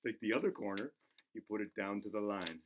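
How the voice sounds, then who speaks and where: A man voicing an excitable, goofy buzzard who hosts a children's show, playful and giggly as he talks to himself and to the kids. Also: on the low side, a little fast, slight background noise, lightly acted. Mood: playful, encouraging. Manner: giggly, self-interrupting, talks to himself in third person. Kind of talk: playful craft demonstration for children.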